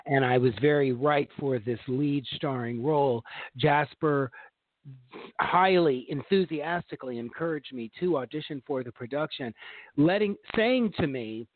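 A man co-hosting a casual talk show, telling long animated stories about his stage work. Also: a little high, a little slow, no echo. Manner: animated, long-winded storytelling. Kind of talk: casual chat. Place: Los Angeles. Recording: tinny phone-like line, dry.

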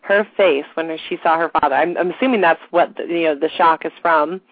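A woman co-hosting a casual unscripted talk show, chatty, her voice raspy from allergies and a slight cold. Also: sits low, a little fast, dry recording. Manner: chatty, informal. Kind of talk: unscripted casual radio chat. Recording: tinny phone-line internet radio audio.

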